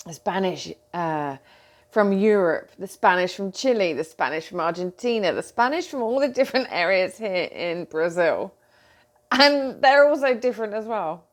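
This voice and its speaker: A middle-aged British woman talking casually to her followers as a coach, chatty and animated, with frequent ums and asides. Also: mid-range voice. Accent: British English. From Britain. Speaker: coach and host. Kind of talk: casual talk to camera.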